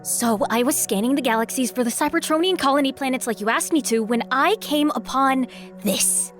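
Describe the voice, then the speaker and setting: A woman playing an eager, excitable female robot researcher in an audio drama, talking informally. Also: high-pitched, brisk, soft background music, close to an everyday voice. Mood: urgent, excited.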